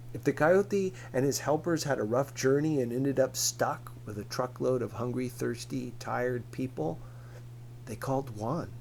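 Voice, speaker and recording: A man's voice: medium pitch, darkish tone. A man reading a novel aloud as an audiobook narrator, in scripted storytelling narration. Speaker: audiobook reader. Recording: clearly noisy recording with hum.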